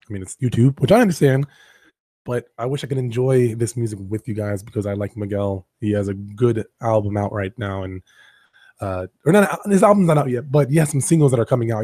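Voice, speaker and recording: A man's voice: medium pitch, dry recording. A man hosting a podcast who has lost his voice, chatting casually and rambling before the show starts. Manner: relaxed, rambling, coughing. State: hoarse, lost voice. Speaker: podcast host. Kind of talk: casual pre-show chat. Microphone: desk microphone.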